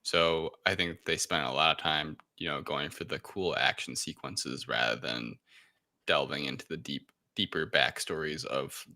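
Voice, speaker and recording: A man's voice: very deep voice, normal speed, sharp tone. A man talking casually as a panelist in a film review discussion. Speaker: podcast panelist.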